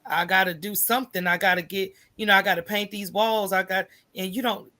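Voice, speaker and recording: A middle-aged American woman with a medium-pitched voice chats as a guest in an interview. She tells things in an animated, informal way, voicing asides and tagging her points with quick check-ins. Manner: animated storytelling, acts out quoted thoughts, often tags phrases with "right?". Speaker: interview guest, coffee shop owner. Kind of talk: casual interview conversation.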